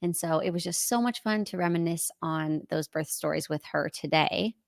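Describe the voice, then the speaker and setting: Upbeat gravelly-voiced woman podcast host. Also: lowish voice, moderate pace, no echo, clean studio sound. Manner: upbeat, enthusiastic. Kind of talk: podcast interview.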